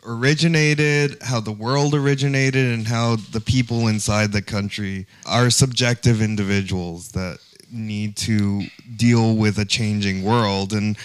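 A man's voice: lowish voice, steady tone, a little slow, few pauses, clean tone. A man talking as a panelist in a casual, intellectual discussion. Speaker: podcast panelist.

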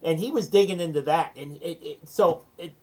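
A man's voice: a little high, fairly quick, a little mellow. Male interview guest, American, casual and chatty small business owner, self-deprecating storyteller.

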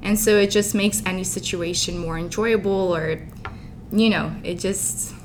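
A woman's voice: fairly even intonation, a little slow. Chatty young woman, noisy recording. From Canada and Dubai. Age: young adult. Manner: chatty, informal, outgoing. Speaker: interview guest. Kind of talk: casual chat. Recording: clear background rumbling noise.

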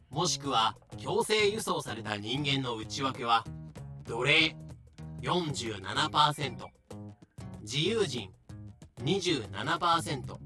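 A man's voice: a little high, bright, forceful tone. A man reading scripted explanatory narration as the narrator of an audio drama.

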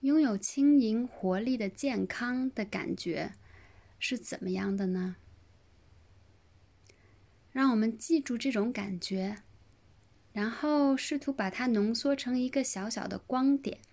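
A woman leading a guided relaxation meditation in a gentle, soothing manner, with pauses between short phrases. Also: a little high. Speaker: yoga nidra meditation guide.

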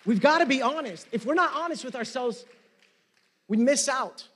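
A man with a Puerto Rican accent preaching a sermon, mixing Spanish with English and swinging from emphatic to hushed. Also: very high-pitched, lively intonation, a little slow. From Puerto Rico. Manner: emphatic, rising and dropping to near hush. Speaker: pastor. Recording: long reverb of a hall.

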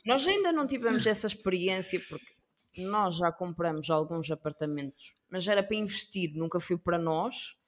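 A woman with a European Portuguese accent chatting casually with friends as a podcast co-host, slangy and animated, dropping in English words. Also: lowish voice, normal speed. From Portugal, with African roots. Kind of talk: casual chat among friends.

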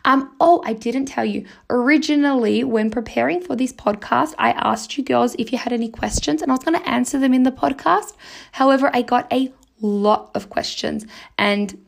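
Husky, creaky-voiced woman podcasting. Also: on the high side, moderate pace. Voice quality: husky, slightly raspy. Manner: encouraging, chatty, acts out imagined dialogue. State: voice strained, huskier than usual. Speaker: English teacher and podcast host. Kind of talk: casual motivational podcast chat.

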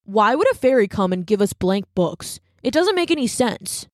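A woman's voice: mid-range voice, big pitch swings, moderate pace, dry recording, clean studio sound, close to an everyday voice. A woman voicing a restless young boy who wants to join the fun. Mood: impatient.

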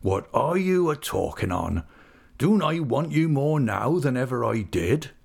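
A man's voice: medium pitch, big pitch swings, a little slow, creaky, husky, voice pushed up, exaggerated voice. A man voicing a hearty, kindly older fisherman in a storybook reading, with rural English dialect and jovial, homely speech. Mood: earnest remonstrance.

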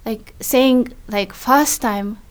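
Woman guest, Japanese accent, halting storyteller in a casual interview. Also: fairly high pitch. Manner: halting, searching for words, storytelling. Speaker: comedian guest. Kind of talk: interview chat.

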